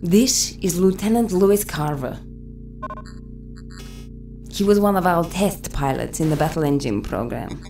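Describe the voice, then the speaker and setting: A businesslike female military scientist in a sci-fi game. Her voice sits a little low and she explains things in a composed, matter-of-fact way. Soft music runs underneath. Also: unhurried, long pauses. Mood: composed, explanatory. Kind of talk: video game cutscene acting.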